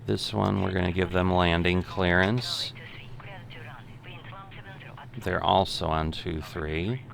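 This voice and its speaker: Gravelly, low-voiced man thinking aloud. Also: fairly even intonation, fairly bright tone. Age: middle-aged. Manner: thinking aloud, methodical, halting. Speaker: gaming video host. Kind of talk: unscripted simulation game commentary.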